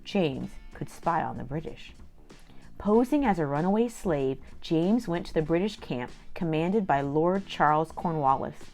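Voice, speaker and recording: A woman with a slightly low voice reads aloud to young children. She is expressive and exclamatory, talking straight to her listeners as a storytime host. Faint music plays behind her. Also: unhurried.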